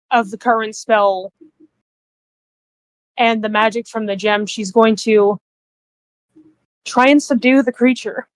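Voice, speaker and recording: A woman's voice: on the high side, no room sound, low-quality recording. A woman chatting casually as a player in a tabletop roleplaying game.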